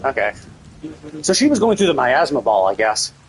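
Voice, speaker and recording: A man's voice: medium pitch, sing-song, normal speed, slight background noise, poor audio. A man giving casual, blunt commentary as a live game streamer, talking in a sweary, venting way.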